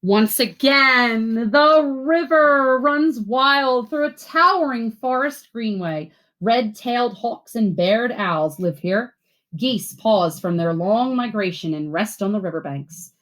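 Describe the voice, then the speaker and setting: A woman reading aloud as a teacher to schoolchildren, with expressive, deliberate phrasing. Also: on the high side. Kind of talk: read-aloud storytelling and simple instructions for children.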